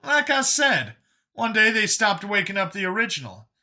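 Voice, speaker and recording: A man voicing an earnest older male escaped captive in an audiobook, explaining past hardship in a halting, emphatic way. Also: high voice, big pitch swings, sharp tone, voice pushed up, exaggerated voice. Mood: grim recounting. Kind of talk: audiobook character dialogue.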